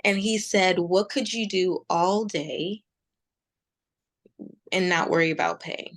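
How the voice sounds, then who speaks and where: Woman, forties, lowish pitch, conversational, reflective, halting pauses, meditation teacher. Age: 40s. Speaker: meditation teacher, podcast guest. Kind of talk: conversational interview storytelling.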